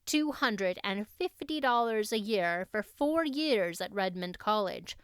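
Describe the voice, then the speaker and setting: A woman's voice: medium pitch, lively intonation, measured pace, clean tone, no room sound, clean studio sound. A woman audiobook narrator reading a classic novel expressively.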